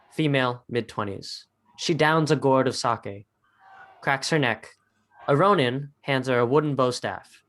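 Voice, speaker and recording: A man's voice: medium pitch, a bit bright. Man narrating screenplay stage directions. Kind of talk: scripted narration, dramatic table read.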